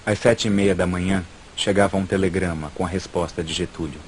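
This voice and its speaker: A man's voice: low-pitched, fairly even intonation, fairly quick, slight background noise. A man with a Brazilian accent reading scripted narration for a historical documentary, with music behind him. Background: loud background music.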